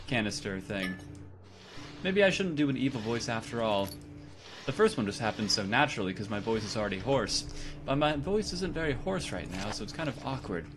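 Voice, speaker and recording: A man playing a horror game and chatting casually, self-mocking and talking to his character. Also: fairly low pitch. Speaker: let's play gamer. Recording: game music behind.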